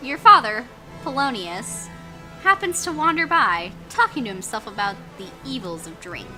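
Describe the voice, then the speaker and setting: An excitable, geeky woman playing a story game, reading it out playfully with self-mocking asides. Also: a little high, normal speed, poor audio. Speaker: gaming channel player reading out a story game. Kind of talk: playful Let's Play reading with joking asides. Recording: music playing behind the voice.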